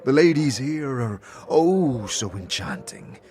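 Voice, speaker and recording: A man's voice: medium pitch, very animated, slow pace, darkish tone. A man playing a courteous, merry old gent. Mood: cheerful. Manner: genteel, courteous and chuckling. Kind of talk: audio drama acting. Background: music.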